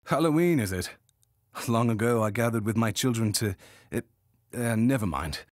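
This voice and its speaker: Casting: actor as grown fantasy game man, medium pitch, expressive inflection, dry sound. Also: normal speed, no echo, natural voice. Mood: wistful. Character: adult male fantasy game character.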